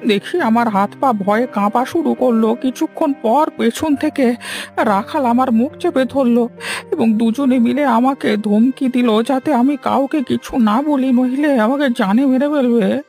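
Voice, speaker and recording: A woman voicing a frightened, cowardly village man in a cartoon, stammering and halting in panic. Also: on the high side, warm tone, soft background music. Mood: nervous. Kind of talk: Bengali cartoon voice acting.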